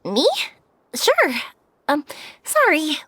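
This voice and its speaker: A woman's voice: sits high, huge pitch swings, very slow, pushed and bright, exaggerated voice. An actress voicing a cheerful, kindhearted young woman in a video game, with youthful, earnest and reassuring delivery.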